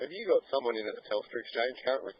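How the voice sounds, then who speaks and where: A man's voice: medium pitch, rapid-fire, husky. A man with an Australian accent giving a matter-of-fact firefighter's report over two-way radio, with some hesitant phrasing. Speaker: firefighter reporting on the fire ground. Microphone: radio scanner feed. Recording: muffled noisy two-way radio, clicks.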